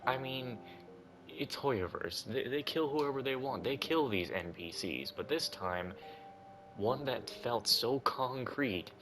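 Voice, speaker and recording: A man's voice: medium pitch, expressive, takes it slowly, fairly bright tone. A man giving casual commentary as he plays through a video game. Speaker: gaming streamer. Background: game music.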